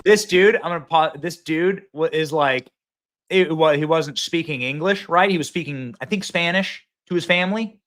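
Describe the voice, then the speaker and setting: A man hosting a live political talk show from a phone, excitable and rambling, often repeating himself. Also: on the high side, moderate pace. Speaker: host.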